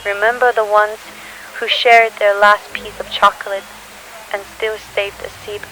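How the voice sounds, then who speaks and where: A woman playing a weary, sorrowful teenager hiding in post-apocalyptic ruins, speaking a halting, solemn audio diary. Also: medium pitch, level tone, sharp tone, rough recording. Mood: quietly defiant. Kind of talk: scripted audio diary monologue. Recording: hissy recording with faint noise.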